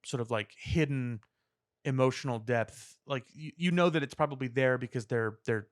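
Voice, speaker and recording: A man co-hosting a podcast, talking earnestly and articulately in casual discussion, with elaborate words and deliberate pauses. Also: mid-range voice. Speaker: podcast co-host. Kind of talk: opinionated casual pop-culture discussion.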